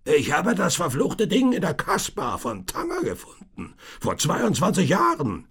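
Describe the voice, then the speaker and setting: A man's voice: mid-range voice, big pitch swings, slow pace, husky, voice pushed up, full character voice. A man doing a haunted old tinker. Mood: urgent, haunted. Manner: urgent, raised voice.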